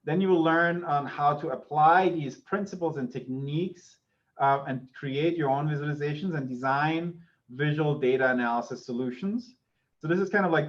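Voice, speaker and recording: A man's voice: a little high, highs cut off. A middle-aged man from Austria, a native German speaker with an Austrian accent, giving an informal online university lecture. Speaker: university lecturer, associate professor.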